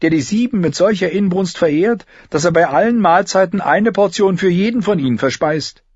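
Man doing a high-voiced, mock-pious court fool. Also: lively intonation, moderate pace, a little mellow, breathy edge, no echo, highs cut off, exaggerated voice. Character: male court fool quoted telling a sly pious joke. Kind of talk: dramatised audiobook character.

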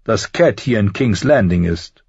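A sly, smug schemer from a fantasy audiobook , acted by a man. The voice is lifted above the reader's own and has a husky rasp. The delivery is teasing and theatrical, in a dull, roomy recording. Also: on the low side, lively intonation, measured pace, a little mellow, pitched above the performer's own voice, full character voice. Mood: smug, amused. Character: sly mocking small lord with pointed goatee, brothel owner.

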